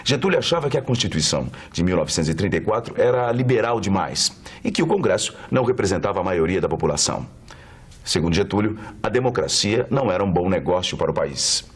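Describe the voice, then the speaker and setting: A man's voice: low voice, sing-song, quick pace, breathy edge. A Brazilian man presenting scripted educational narration in an explanatory way. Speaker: documentary presenter.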